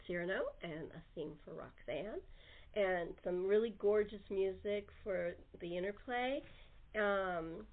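Female talk host, medium pitch, casual and halting, thin telephone sound. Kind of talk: casual interview chat. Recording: phone-like narrow band.

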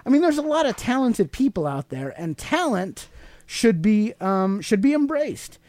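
An American man hosts a casual radio-style podcast. His delivery is lively, rising and falling widely in pitch. He moves informally between chatting and reading aloud. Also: normal speed, no room sound. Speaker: podcast host.

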